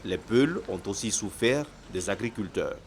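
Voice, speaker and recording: Male news narrator, low lively voice, scripted report, slight noise. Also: normal speed.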